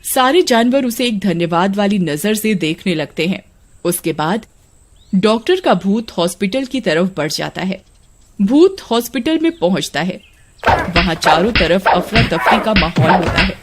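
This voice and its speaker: A woman's voice: mid-range voice, expressive, measured pace, background noise. A woman reading scripted story narration as a storyteller. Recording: music behind the narration.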